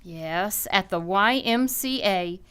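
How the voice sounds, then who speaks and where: A woman playing a patient, gently chiding housewife and mother in an old-time radio comedy. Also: mid-range voice, slow pace, clean tone. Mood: coaxing.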